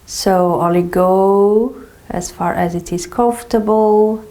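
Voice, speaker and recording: Female movement teacher, stuffy nose from a cold, slow mellow delivery. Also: mid-range voice. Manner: slow, calm step-by-step guidance with frequent "okay". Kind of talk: guided exercise instruction.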